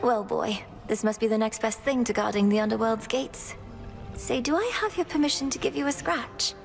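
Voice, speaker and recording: A woman's voice: fairly high pitch, normal speed, creaky. A woman playing a young, earnest, resolute princess of the underworld, speaking in a composed, slightly formal way. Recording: music behind the voice.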